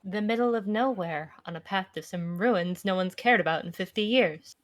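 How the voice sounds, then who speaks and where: A woman's voice: medium pitch, moderate pace, natural voice. A woman reading aloud as a wary, sardonic fugitive in a visual novel, with a dry, confrontational manner. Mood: wry, suspicious. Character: wary, sardonic fugitive who sizes people up. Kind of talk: amateur visual novel read-aloud acting.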